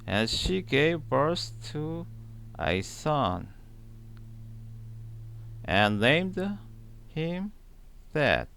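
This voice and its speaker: A man reads scripture aloud extremely slowly, breaking it into short phrases with long pauses. His voice sits a little high, with a lively rise and fall in pitch. The delivery is halting and deliberate. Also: creaky, clear, resonant tone. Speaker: Bible reader, channel host. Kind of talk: slow read-aloud of scripture.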